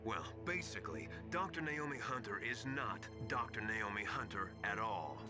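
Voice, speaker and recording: A man's voice: lowish voice, expressive, natural voice. Man acting shrewd military mentor over music. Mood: grave, confiding. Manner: measured, explanatory. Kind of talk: dramatic video game voice acting. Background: game music.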